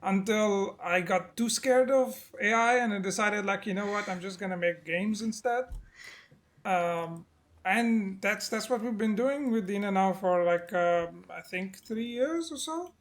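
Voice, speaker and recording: A man's voice: high-pitched, a little slow, a bit bright. Chatty man who laughs and restarts. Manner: chatty, laughing, repeats words as he starts. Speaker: indie game developer guest. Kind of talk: casual interview chat.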